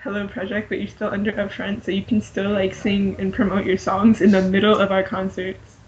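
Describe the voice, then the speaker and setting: A woman's voice: mid-range voice, completely flat delivery, fairly quick, a little mellow. Woman panelist on a muffled, noisy connection. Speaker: podcast panelist. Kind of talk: casual fan chat. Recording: muffled lo-fi voice link, clear noise.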